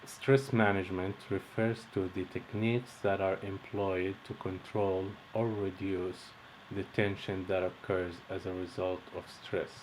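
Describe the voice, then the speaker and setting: A young man from Egypt, a course instructor, reading out a lesson introduction in a measured, steady way. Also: low voice, a little hiss or hum, rough recording.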